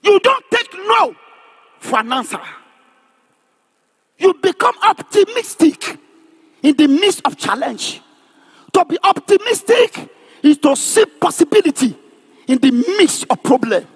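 High-pitched, emphatic male preacher. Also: very animated, a little slow, long pauses. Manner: emphatic, declamatory, often shouting; repeats phrases for emphasis. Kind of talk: charismatic sermon with call and response.

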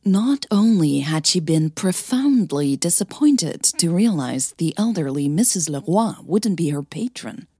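A woman's voice: fairly low pitch, gravelly, a little mellow, breathy edge, no room sound. A woman hosting a podcast and narrating a scripted audio story.

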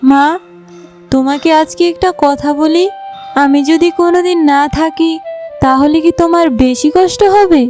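A woman voicing a gentle, devoted young daughter in an animated fairy tale. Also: high voice, normal speed, soft background music, close to an everyday voice. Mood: tender.

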